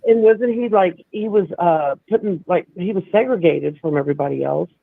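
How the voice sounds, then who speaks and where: A man with a raspy voice, hoarse from being sick, chatting casually as a podcast co-host in a halting, agreeable way. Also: high voice, dry recording. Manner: halting, restarts often, agreeable. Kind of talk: casual chat. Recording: tinny phone line.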